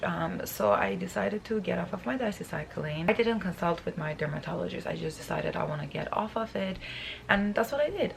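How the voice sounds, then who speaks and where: A woman in her thirties, raspy from just waking up, chatting to camera in her bedroom with many fillers and restarts. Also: sits low, a little hiss or hum. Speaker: beauty vlogger. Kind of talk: casual talk to camera. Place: bedroom, indoors.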